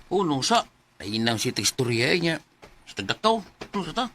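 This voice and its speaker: A man's voice: medium pitch, big pitch swings, normal speed, pushed and bright. A man playing a shy young suitor in a radio drama, hesitant and apologetic. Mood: nervous, lovestruck. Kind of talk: Cebuano radio drama acting. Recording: faint background noise.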